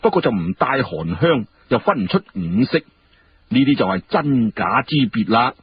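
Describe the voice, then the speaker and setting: A man's voice: medium pitch, big pitch swings, unhurried, slightly rough, dull audio, full character voice. A man voicing a courteous, genial young court minister in a Cantonese story, explaining things politely. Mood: amused, explaining.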